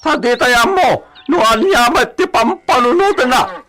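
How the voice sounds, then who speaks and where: A man's voice: very high-pitched, expressive, moderate pace, a crowd in the background. An actor playing a sly, menacing bandit boss in a radio drama, drawling his orders and cackling gleefully. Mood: scheming. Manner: drawling commands with frequent cackling.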